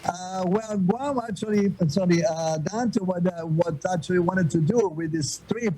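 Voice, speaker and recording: An older man from Florence with a Florentine Italian accent, answering interview questions with many pauses and restarts. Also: sits high, warm tone, a little hiss or hum, rough recording. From Florence, Italy. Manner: hesitant, many fillers and restarts. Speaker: guest.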